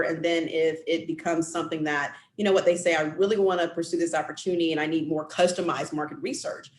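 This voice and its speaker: A trade specialist explains exporting over a video call in an upbeat way, often checking in with "right?". The sound carries a roomy echo. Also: medium pitch. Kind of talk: explanatory chat.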